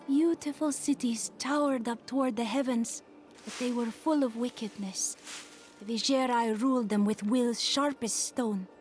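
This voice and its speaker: Actress as a composed, high-voiced ancient woman. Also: unhurried, darkish tone, faint music behind, lightly acted. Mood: reminiscing. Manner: composed, formal. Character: ancient follower of a prophet, composed, storytelling woman. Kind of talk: video game voice acting.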